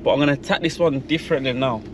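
A man's voice: medium pitch, very fast. A young British man chatting casually and slangily from inside a car, as a prankster vlogger. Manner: slangy, informal. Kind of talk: casual chat and drive-through prank. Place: inside a car at drive-throughs. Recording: noisy car interior, engine and outdoor hum.

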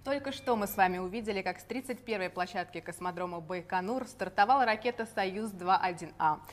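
A woman's voice: medium pitch, fairly quick. A woman in her thirties co-hosting a live broadcast in a scripted presenter's style, recorded in a studio on a lapel mic. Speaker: broadcast co-host.

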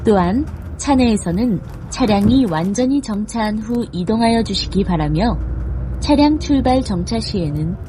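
A woman's voice: medium pitch, expressive, normal speed, background noise. A woman reading scripted passenger notices in a polite, formal manner. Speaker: bus passenger-notice announcer. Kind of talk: scripted public announcement.

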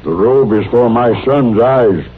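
Man playing slow, solemn western radio tribesman. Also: medium pitch, lightly acted. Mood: solemn, grateful. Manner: slow, halting, broken English. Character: grave, dignified Native man bringing thanks. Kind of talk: old-time radio western acting. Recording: tinny, faintly noisy old radio recording.

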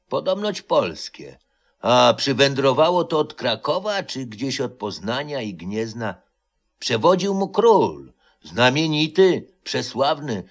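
A man voicing a grey-haired old highlander who solemnly tells a folk legend in an audio storybook.

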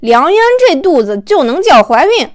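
A woman's voice: high-pitched, sing-song, moderate pace, no room sound, clean studio sound. Woman acting out a snappy, exasperated internet commenter. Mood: indignant, scoffing. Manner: snappy, dismissive. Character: exasperated, scoffing internet commenters defending a star.

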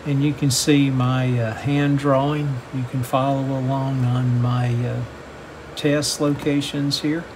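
A middle-aged man giving a hands-on technical demonstration in a measured, matter-of-fact, step-by-step manner. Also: mid-range voice. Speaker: host of a radio repair channel. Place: indoor workbench. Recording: steady air-conditioning-like background hum.

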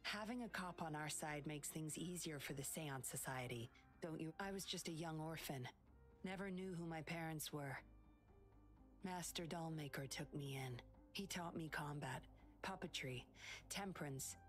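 An actress playing a sly, secretive young woman patroller in an anime-style video game, cool, measured and teasing. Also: deep voice, faint music behind, lightly acted. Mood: composed, confiding.

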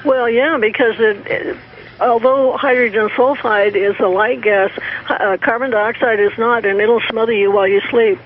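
A woman speaking as a panelist, explaining news reports in a halting way with repeated words. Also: fairly high pitch, steady tone. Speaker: panelist on a talk show. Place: remote call into radio show. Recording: tinny phone-like line with static.

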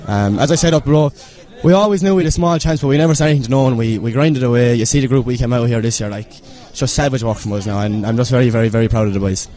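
A teenage man with an Irish accent, captain of a school team, talking excitedly and proudly into a handheld mic outdoors on a stadium pitch. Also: racing, hardly any pauses. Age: teens. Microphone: interviewer's handheld mic.

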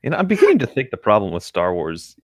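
A man with a somewhat low voice chats casually as a podcast co-host. He is jokey, with lively swings in pitch. The sound is close and dry. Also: fast, no echo. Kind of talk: casual chat.

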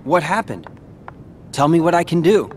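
A man's voice: on the high side, animated, normal speed, a little mellow, slight background noise, lightly acted. A young man playing a guarded, brave teenage hero with a dry, understated delivery in a game cutscene. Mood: concerned. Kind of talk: scripted video game cutscene acting.

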